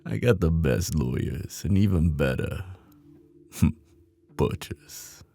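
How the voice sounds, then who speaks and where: A man playing a flirty, menacing mob boss in an intimate ASMR roleplay, with a teasing, cocky drawl and a deep, gravelly voice. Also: lively intonation, slow, warm tone, soft background music, heavily acted.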